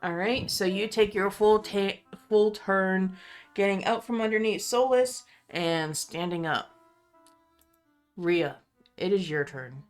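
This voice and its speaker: A woman's voice: medium pitch, takes it slowly. A woman acting as game master, narrating a tabletop roleplaying game. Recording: music under the voice. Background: instrumental music.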